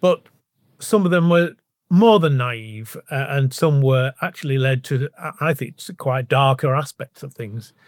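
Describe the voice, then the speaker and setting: A man's voice: mid-range voice, a little slow, no echo. Mature Northern English man, dry close sound. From Bolton, North West England. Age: middle-aged or older. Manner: discursive, often restarting sentences. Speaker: podcast guest, author. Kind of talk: casual conversational interview answers.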